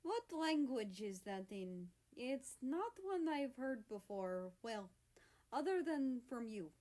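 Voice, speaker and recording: A woman's voice: fairly high pitch, sing-song, slow, darkish tone. Woman reading as a stammering flustered young man. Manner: stammering, hesitant.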